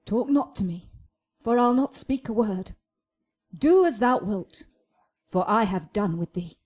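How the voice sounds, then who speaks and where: A woman's voice: mid-range voice, lively intonation, a little slow, a little mellow. An actress playing a cold, dismissive noble mother in a theatrical Shakespearean radio drama, with curt delivery. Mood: cold, rejecting.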